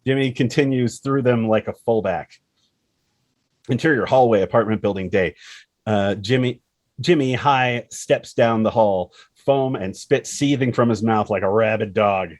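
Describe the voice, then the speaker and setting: A man's voice: on the low side, unhurried, a little mellow, dry recording. A man narrating screenplay action and scene headings as a scripted table read. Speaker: narrator reading stage directions.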